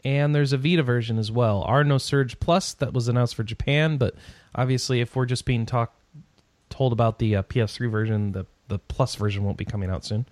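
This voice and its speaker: A man's voice: medium pitch, steady tone, fairly quick, darkish tone, no echo. Man, podcast host, casual news chat, sarcastic asides, mumbling tail-offs.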